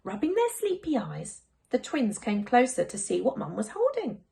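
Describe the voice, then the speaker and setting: A woman narrates a children's picture book in a very lively, melodic way. Her voice is fairly high, with some creak at the edges. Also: normal speed. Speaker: primary school teacher reading a story.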